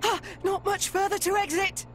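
Squeaky, excitable little creature. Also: female voice, normal speed, a little hiss or hum. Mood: excited, urgent. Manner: excitable, broken third-person grammar. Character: small, excitable, childlike male furry creature inventor. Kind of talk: video game cutscene acting.

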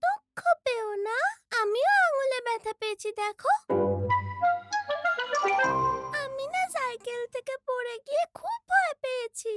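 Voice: very high-pitched, animated, normal speed. An actor voicing a cheerful, caring little girl in a children's cartoon, speaking in simple, eager, childlike phrases. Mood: comforting. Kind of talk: animated children's cartoon dialogue. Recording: music behind the voice. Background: prominent music.

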